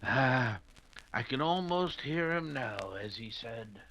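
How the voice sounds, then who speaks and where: An actor playing a genial, flustered English doctor who tells stories hesitantly in the manner of 1940s radio drama. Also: male voice, medium pitch, expressive, slow, a bit bright, no room sound, heavily acted, rough recording. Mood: dramatic storytelling. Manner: hesitant, fussy, avuncular. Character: genial, flustered English doctor narrating his detective friend's adventures.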